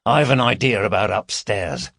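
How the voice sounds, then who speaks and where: Audiobook role: bossy, fat male housebreaker read by a man, gruff, snapping orders with swooping intonation. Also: lowish voice, big pitch swings, normal speed, a bit bright, exaggerated voice. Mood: irritable. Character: fat, gruff, irritable male small-time burglar.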